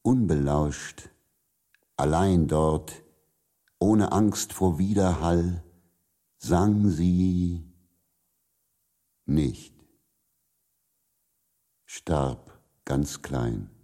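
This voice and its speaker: A man recites verse with a solemn, measured delivery. His voice is extremely deep and dark-toned, and he takes it very slowly. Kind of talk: poetry recitation.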